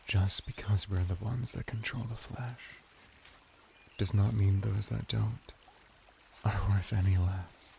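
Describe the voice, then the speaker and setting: A man's voice: on the low side, steady tone, gravelly, a little mellow, a little hiss or hum, heavily acted. A man voicing a flirty, courteous merman bath attendant in an intimate, formally worded ASMR roleplay. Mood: gentle, earnest. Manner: slow, intimate, formal wording with lingering pauses.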